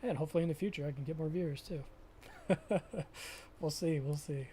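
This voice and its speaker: A young man chatting casually with viewers during a live stream of a farming game, often pausing and restarting his sentences. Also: a little high, mellow, slight background noise. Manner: halting, self-correcting, chatty with viewers.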